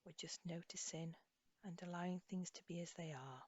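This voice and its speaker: A woman leading a guided body scan meditation as an instructor, speaking softly and soothingly in an unhurried way, with phrases trailing off breathily. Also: lowish voice, breathy edge. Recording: slightly dull, echoey room recording.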